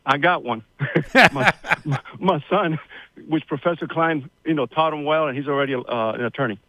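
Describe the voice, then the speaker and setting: A man's voice: on the high side, moderate pace, fairly bright tone, dry recording, rough recording. A man in his sixties, born in Cuba, telling his family story as a radio guest in a rambling, chatty way, with many fillers. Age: 60s. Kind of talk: casual interview storytelling.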